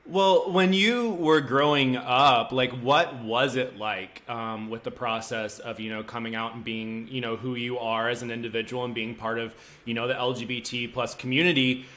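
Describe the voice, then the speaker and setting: A man's voice: mid-range voice, steady tone, moderate pace, few pauses, a bit bright. A man hosting an interview, playful and gushing, with sing-song intros and lots of "like". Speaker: interview host. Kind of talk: casual interview.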